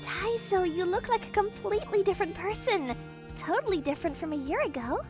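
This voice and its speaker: A woman playing an emotional young woman in a manga dub, speaking in an expressive, heartfelt way. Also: extremely high pitch, brisk, faint music behind. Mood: happy, touched. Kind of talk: manga dub character acting.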